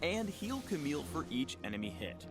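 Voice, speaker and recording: A man reading scripted promotional narration for a game tutorial, explaining things crisply with wry touches. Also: fairly high pitch, very animated, brisk. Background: music under the voice.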